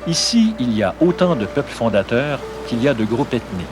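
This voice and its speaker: A man narrating a news documentary in a measured, scripted delivery and putting questions to interviewees. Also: medium pitch, sing-song, over music.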